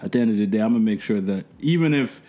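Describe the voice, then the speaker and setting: Deep-voiced man telling stories casually. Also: racing. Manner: relaxed, rambling storytelling with slang. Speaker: event host and podcaster. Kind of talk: casual podcast conversation.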